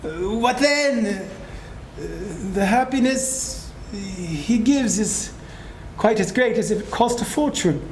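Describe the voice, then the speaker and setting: An elderly miser, humbled and earnest, acted out by a man in a theatrical stage reading. The delivery is halting and shaken. The room echoes heavily, with faint noise behind. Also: high voice, expressive. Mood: flustered, wistful. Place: indoor live reading event. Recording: echoey room, noisy live recording.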